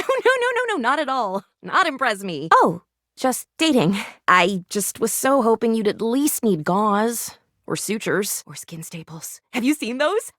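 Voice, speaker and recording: A woman's voice: a little high, a bit bright, dry recording, clean studio sound. A woman playing a perky, eccentric, gleefully morbid lady doctor in a video game, with gushing, old-fashioned, melodramatic phrasing. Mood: giddy, then earnest. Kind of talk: animated video game character acting.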